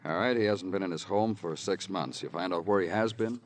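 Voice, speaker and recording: Hard-boiled New York detective, low-pitched male actor. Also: a little fast, slight background noise, close to an everyday voice. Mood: terse, probing. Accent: American. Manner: terse, probing, clipped questioning. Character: hardened, insistent New York homicide detective. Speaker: lead actor in radio crime drama. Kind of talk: 1950s American radio acting. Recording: vintage 1950s radio recording.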